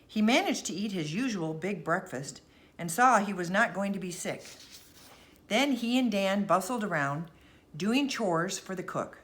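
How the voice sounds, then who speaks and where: A woman reading a bedtime story aloud as narrator, expressive and deliberate. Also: medium pitch.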